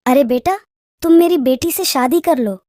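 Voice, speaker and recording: A woman voicing a cunning male fox uncle who flatters and wheedles in a children's storybook tale. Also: sits high, moderate pace, no echo, studio quality, voice pushed up, close to an everyday voice. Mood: wheedling. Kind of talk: children's moral storybook character voice. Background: children's music.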